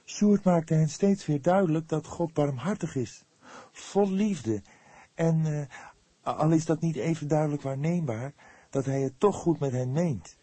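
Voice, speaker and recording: A man voicing an earnest, devout young woman in an audiobook, speaking patiently and with solemn emphasis, quick to defend herself. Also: a little high, warm tone, no echo, voice pushed up. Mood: patient, insistent.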